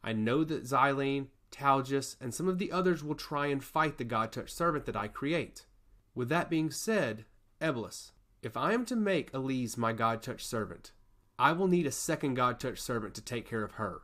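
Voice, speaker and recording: A man's voice: mid-range voice, expressive, moderate pace, fairly bright tone, close to an everyday voice. Man voicing a solemn, earnest goddess of law. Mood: earnest, grave. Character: solemn, curious goddess of law, weary judge of souls.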